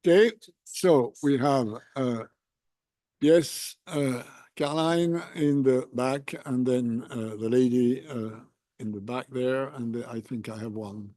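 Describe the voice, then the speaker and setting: A man moderating a conference panel, politely and haltingly handing the floor to people in the audience. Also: medium pitch, dark tone, no room sound. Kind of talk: informal handling of audience questions.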